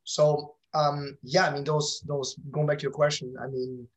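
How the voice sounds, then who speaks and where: A man with a somewhat high-pitched voice talks casually as an interview guest. His phrasing is loose and self-correcting, often restarting as he explains things about his work. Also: flat delivery, moderate pace. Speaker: interview guest, company founder.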